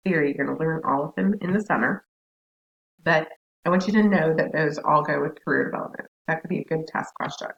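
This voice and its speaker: A hoarse woman with a scratchy voice, giving a recorded counseling class lecture in a chatty way with asides. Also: low-pitched, highs cut off. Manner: chatty, laughs, self-interrupting asides. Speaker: counseling instructor.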